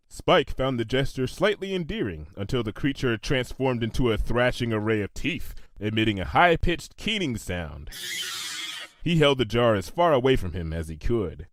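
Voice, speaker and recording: A man narrates a comedic story in a scripted reading style. His pitch is expressive and varied, and the recording is very dry, with no room sound. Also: no room sound.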